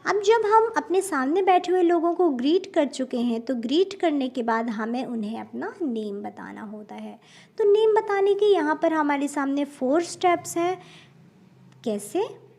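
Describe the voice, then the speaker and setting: Indian woman teacher, fairly high voice, very slow and deliberate, mixes English words into Hindi. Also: lively intonation, darkish tone. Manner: slow, careful, addresses viewers as friends. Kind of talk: spoken English lesson in Hindi.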